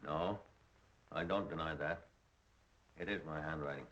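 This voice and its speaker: Deep-voiced, guarded suspect. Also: male voice, measured pace, fairly bright tone. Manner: terse, resistant. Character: stubborn, guarded male murder suspect. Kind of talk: 1930s film acting. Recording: dull 1930s film soundtrack.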